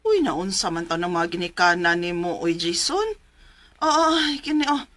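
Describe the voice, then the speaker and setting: An actress with a Cebuano Filipino accent playing a concerned, generous shopkeeper neighbor who fusses and mildly scolds in a radio drama. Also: female voice, on the low side, big pitch swings, a little slow, background noise.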